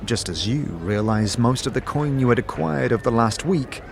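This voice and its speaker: A man narrating a fantasy tale in the second person as game master, in an expressive storytelling manner. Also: mid-range voice, normal speed, creaky, a crowd in the background. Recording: music under the voice.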